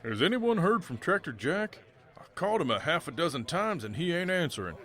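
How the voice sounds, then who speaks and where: A man's voice: a little high, big pitch swings, fairly quick, a bit bright. A man playing a genial, plainspoken country farmer and father in a comic audio drama. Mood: concerned.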